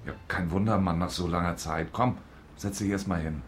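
A man's voice: low voice, steady tone, normal speed, slight background noise, close to an everyday voice. An actor playing an easygoing, sympathetic male friend in a radio drama, colloquial and reassuring, with faint ambience around him. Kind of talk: naturalistic radio drama acting.

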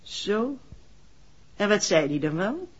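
A woman's voice: mid-range voice, very animated, slow, breathy edge, full character voice. An actress playing a guarded, curt garage woman in an old radio drama, wary and clipped in her answers. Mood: guarded, denying. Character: guarded, curt garage-keeper mother with a grown son. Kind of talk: 1960s Dutch radio drama acting. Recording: muffled old radio recording.

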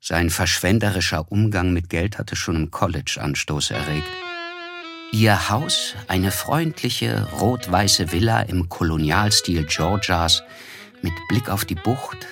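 A reflective young man narrates a literary radio drama in a low voice. He is polite and observant, telling things in measured, descriptive phrases. Music is clearly audible under his words.

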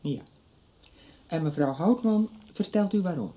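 A blunt, upset middle-aged mother in a radio soap. Her voice is rough-edged and swings lively in pitch. The recording is a little muffled. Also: a little slow. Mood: brisk, indignant. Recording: dull 1990s radio recording.

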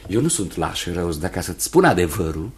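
An actor playing a kindly, nervous young fiancé in a Romanian radio play, earnest and hesitant, often repeating himself. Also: male voice, low voice, big pitch swings, darkish tone. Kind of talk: Romanian radio theatre acting.

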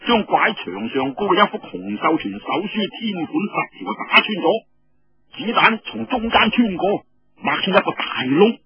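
A man with a Cantonese accent telling a story in a colloquial, animated, conversational way. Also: high voice, husky, no room sound, dull audio. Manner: animated, conversational, ends phrases with drawn particles.

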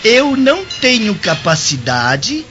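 Nobre romano ciumento, voz muito oscilante. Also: male voice, high voice, huge pitch swings, takes it slowly, exaggerated voice. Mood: challenging. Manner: accusing, theatrical, sarcastic. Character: jealous, hot-tempered Roman nobleman accusing his brother. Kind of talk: radio drama acting. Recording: dull lo-fi, music underneath.

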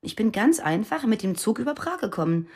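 An actress playing a guarded young former militant, speaking earnestly and confessionally in a radio drama. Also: female voice, mid-range voice, very animated, fairly quick, darkish tone, slightly rough. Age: young adult. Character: guarded young woman, former West German terrorist.

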